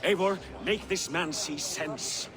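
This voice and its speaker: An earnest Viking courtier in a game drama. His voice is high for a man, and he reasons like a pleading old friend. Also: slow. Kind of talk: dramatic video game acting.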